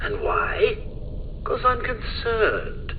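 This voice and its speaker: A man's voice: very high voice, wildly swooping pitch, extremely slow, phone-quality sound, slight background noise, full character voice. A man voicing a manic, mocking male clown villain in a video game, cackling and swinging his delivery wildly. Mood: taunting. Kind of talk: game voice lines.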